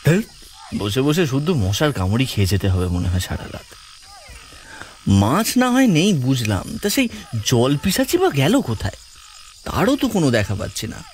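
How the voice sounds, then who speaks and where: An actor playing a grumbling, excitable young village man who mutters to himself in an audio horror story. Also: medium pitch, moderate pace, long pauses, slight background noise. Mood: irritated, sulky. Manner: muttering to himself, colloquial, exclamatory.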